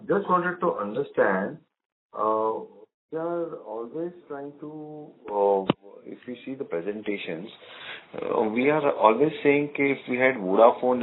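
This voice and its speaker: A man with a medium-pitched voice asks analyst questions conversationally. The sound is thin and boxed in, like a telephone connection. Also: animated, unhurried, slight background noise. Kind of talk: conversational earnings call questioning. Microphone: phone line. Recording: thin phone-like narrow band line.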